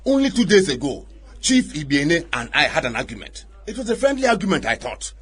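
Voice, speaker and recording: An indignant, high-voiced Nigerian chief. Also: male voice, sing-song, a little fast, a bit bright, slightly rough, exaggerated voice. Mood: wounded, threatening. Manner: declamatory, indignant. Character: aggrieved, proud Nigerian male chief, vengeful and accusing. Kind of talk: radio drama acting.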